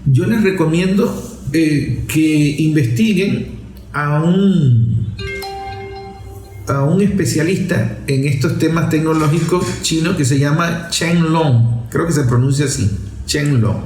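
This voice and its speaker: A man giving unscripted political commentary as a show host, didactic and deliberate, repeating phrases for emphasis. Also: on the high side, a little mellow, faint music behind. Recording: echoey room sound.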